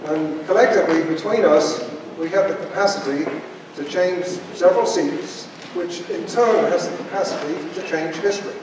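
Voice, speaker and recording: An older man with a Norwegian accent making measured announcements at a podium with a handheld mic. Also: sits high. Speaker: campaign organizer. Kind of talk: announcements to an audience. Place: large school gym, indoors. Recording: echoey gym from far off, loud crowd noise.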